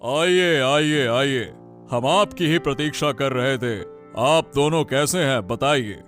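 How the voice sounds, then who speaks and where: A man voicing a commanding, pompous king with a deliberate, authoritative manner in a Hindi cartoon story. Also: medium pitch, fairly bright tone, soft background music. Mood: smoothly commanding. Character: commanding, imperious king giving orders to subjects.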